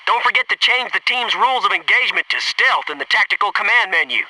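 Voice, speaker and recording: An American actor playing a brash, upbeat, teasing soldier in a military video game, with punchy, cocky, informal delivery. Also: male voice, high-pitched, lively intonation, quick pace, pushed and bright, distant mic, a little hiss or hum, full character voice, poor audio. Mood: impatient.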